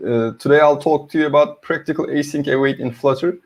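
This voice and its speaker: A man's voice: fairly high pitch, steady tone, fairly quick. A middle-aged man with a Turkish accent giving an online tech talk as a university lecturer, friendly and hesitant with many fillers. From Turkey. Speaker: conference speaker, university lecturer. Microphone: video call.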